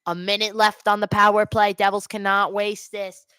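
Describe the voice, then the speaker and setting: A woman's voice: mid-range voice, level tone, moderate pace, bright, forceful tone, clear, resonant tone, no room sound, studio quality. A woman doing live amateur hockey play-by-play and casual chat as host of a watch party, speaking into a headset.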